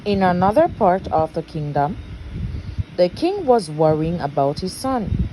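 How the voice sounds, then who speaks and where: A woman reading a storybook aloud as a parent would at bedtime, expressive and deliberate. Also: lowish voice, slight background noise, rough recording.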